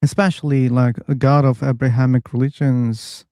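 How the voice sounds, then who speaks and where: A man hosting a casual live online discussion room, chatty and quick to laugh. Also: medium pitch, no room sound, clean studio sound. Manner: relaxed, chatty, laughs easily. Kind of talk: casual live-stream chat.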